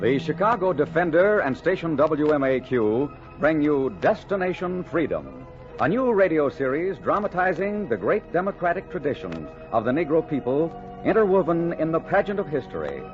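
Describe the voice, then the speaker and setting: A man's voice: on the high side, sing-song, normal speed. A man announcing in the grand, formal style of 1940s radio. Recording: dull vintage radio recording with music. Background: music.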